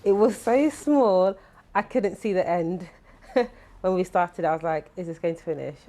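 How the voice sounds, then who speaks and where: A woman, the braider's daughter, telling her part excitedly and laughing. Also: lowish voice, a little slow.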